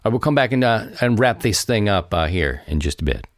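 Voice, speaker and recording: A man with a low, deep voice talks on his own as a podcast host. His delivery is casual and conversational, rambling along as he speaks straight to his listeners. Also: very animated, fairly quick, dry recording, studio quality. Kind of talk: casual solo podcast talk.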